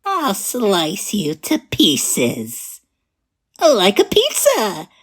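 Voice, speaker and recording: A woman's voice: medium pitch, wildly swooping pitch, extremely slow, husky, full character voice. A woman voicing a sassy, clumsy, menacing bird animatronic in a video game, theatrical and mock-sweet. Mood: sly, teasing. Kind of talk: video game character voice lines.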